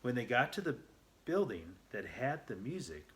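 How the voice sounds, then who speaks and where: A man's voice: medium pitch, big pitch swings, moderate pace, a bit bright. A man reading a children's story aloud in an earnest, unpolished way, stumbling now and then. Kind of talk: read-aloud narration.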